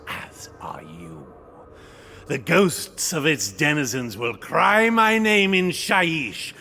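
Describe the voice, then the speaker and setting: A man's voice: fairly high pitch, creaky, pushed and bright, slight background noise, full character voice. A man voicing a vengeful undead knight spirit in a game, arrogant, menacing and grandiose in delivery. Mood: scornful boasting. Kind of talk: video game cutscene acting.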